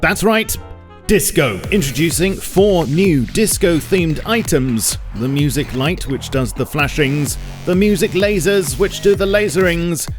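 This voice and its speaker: A man's voice: a little high, lively intonation, measured pace. A man hosting a scripted first look at new game items, narrating with dry, wry asides. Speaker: video host showing new game items. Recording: music under the voice. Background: music.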